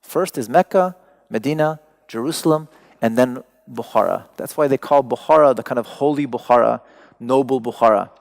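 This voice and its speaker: A man's voice: medium pitch, moderate pace, vocal fry. A man, a native Persian speaker, giving a talk to an audience, wry and digressive with joking asides. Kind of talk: lecture to an audience.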